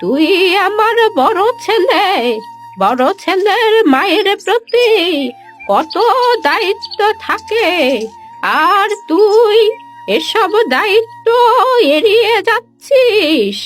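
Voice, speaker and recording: A frail, wronged elderly mother from a cartoon moral tale, acted by a woman. Her voice is extremely high, and she draws out her words in slow, plaintive reproach. Music plays underneath. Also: expressive, heavily acted. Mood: hurt, pleading. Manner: slow, drawn-out, lamenting delivery. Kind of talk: cartoon moral story dialogue.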